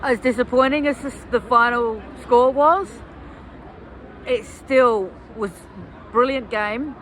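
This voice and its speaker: A woman's voice: a little high, slow pace, fairly bright tone, a little hiss or hum, low-quality recording. A woman vlogging outdoors after a match, chatty and tired, correcting herself as she goes. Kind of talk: casual vlog chat.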